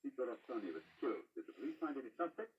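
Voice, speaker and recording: A man playing an incisive, courteous gentleman detective, clipped and theatrical in old radio drama style. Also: medium pitch, sing-song, very fast, slightly rough, distant mic, highs cut off. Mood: probing. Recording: old radio broadcast, played from afar.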